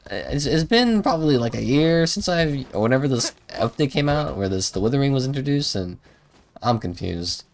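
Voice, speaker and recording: A man's voice: medium pitch, big pitch swings, normal speed, slight background noise. A man live streaming a video game with casual, slangy commentary, often muttering and sounding unsure of himself. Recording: music behind the voice. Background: game music.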